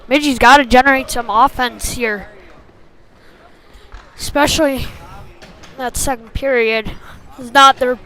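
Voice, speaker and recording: A woman's voice: high voice, fairly even intonation, measured pace, pauses often, sharp tone. A woman giving informal live play-by-play of a youth ice hockey game in short, clipped, colloquial phrases. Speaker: play-by-play commentator. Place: sports venue, ice rink. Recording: amateur livestream with faint background noise.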